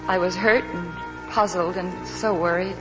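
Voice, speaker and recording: An actress playing an anxious, devoted young wife in 1940s radio drama, earnest and pleading, on a vintage broadcast recording. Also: female voice, fairly low pitch, fairly even intonation, unhurried, music in the background, natural voice, low-quality recording. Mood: troubled recollection.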